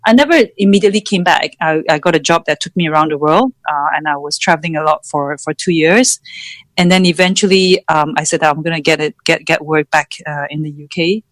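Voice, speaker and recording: A woman's voice: sits low, brisk, dry recording. A middle-aged woman from Malaysia, a podcast guest telling her story reflectively and searching for her words. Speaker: podcast guest, founder and coach. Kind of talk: reflective personal interview talk.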